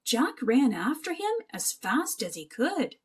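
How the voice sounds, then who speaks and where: A woman narrating a children's picture book aloud as an expressive, animated storyteller. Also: high voice, unhurried, husky.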